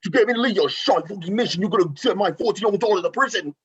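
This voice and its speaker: A man's voice: high voice, very fast, muffled recording, lightly acted. A man acting a bitter, foul-mouthed jailed hitman and reluctant father in an amateur script read, combative and blunt. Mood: furious.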